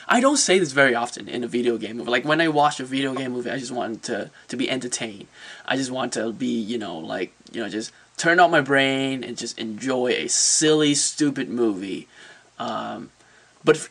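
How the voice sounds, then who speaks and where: Halting man with a creaky voice. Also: medium pitch, a bit bright. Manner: halting, repetitive, searching for words. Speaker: movie reviewer and vlog host. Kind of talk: casual off-the-cuff video review.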